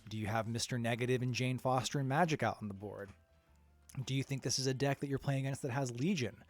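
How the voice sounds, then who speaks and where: A man's voice: medium pitch, fast talker, faint music behind. A man with a nasal voice, stuffy from a cold, giving an upbeat, chatty card game strategy guide with plenty of fillers. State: under the weather, stuffy. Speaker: card game content creator.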